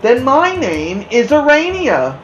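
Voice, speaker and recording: A woman's voice: high-pitched, sing-song, takes it slowly, echoey room, muffled recording, faint music behind, pitched above the performer's own voice, heavily acted. A woman voicing tiny newborn female spiders in a storybook, eager, dainty and childlike. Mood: curious, eager. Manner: bright-eyed, eager, childlike. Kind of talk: children's storybook character reading.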